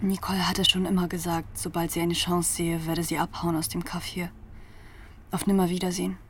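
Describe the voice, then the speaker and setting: An actress playing a detached narrator in a radio drama, reporting in a flat, scripted manner. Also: female voice, fairly low pitch, brisk, slight background noise, lightly acted.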